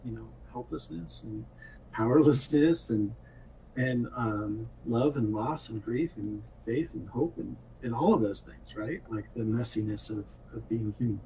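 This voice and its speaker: A man's voice: mid-range voice, measured pace, mellow, distant mic, rough recording. A man talks in a casual, reflective way, feeling out his phrases. His voice is mid-range and sits a bit away from the mic, over faint noise. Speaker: game director and programmer. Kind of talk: casual panel talk.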